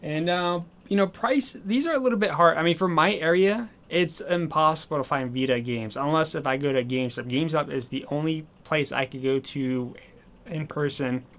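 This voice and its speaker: Casual, rambling male vlogger, a touch hoarse, voice pitched a bit high. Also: normal speed, a bit bright. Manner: chatty and rambling, with many fillers. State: slightly hoarse, losing his voice. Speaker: gaming channel host. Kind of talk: casual video game haul vlog.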